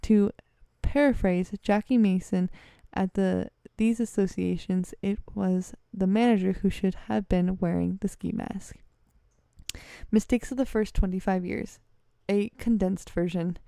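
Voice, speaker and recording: A woman's voice: medium pitch, fairly even intonation, a little slow, creaky, dark tone, smooth, no echo. A woman reading a text aloud, stumbling over words and correcting herself as she goes. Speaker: vlogger reading a letter aloud.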